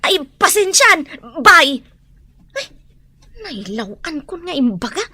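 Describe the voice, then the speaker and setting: A woman playing a nosy, excitable household nanny in an Ilocano audio drama. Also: sits high, slow, no room sound, heavily acted. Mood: flustered.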